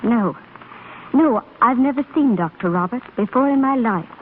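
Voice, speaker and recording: An actress playing a protective, solicitous young woman companion with brisk concern in a 1930s radio drama. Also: fairly high pitch, animated, lightly acted. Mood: suspicious, cold. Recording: scratchy, phone-like 1930s radio broadcast recording.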